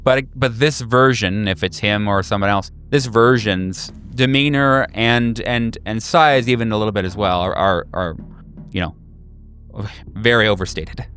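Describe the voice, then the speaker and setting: A man narrating a horror tabletop game as game master, improvising haltingly and searching for words. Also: on the low side, fairly bright tone. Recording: music behind. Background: clear background music.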